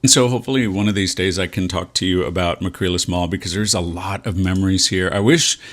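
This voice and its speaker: A man with an American accent and a low-set voice talks casually as a vlog host. His delivery is fairly monotone and rambling, with a folksy, nostalgic way of addressing his viewers. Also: fast. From San Antonio, Texas, USA. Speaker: vlog host and real estate agent. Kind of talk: casual reminiscing walk-and-talk vlog.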